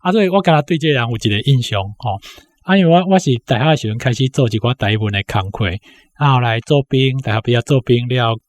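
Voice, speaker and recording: A man's voice: mid-range voice, fast. A man in his sixties with a Taiwanese accent recalls personal memories informally, often punctuating his phrases with little tag particles. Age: 60s. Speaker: interview guest, university academic. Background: background music.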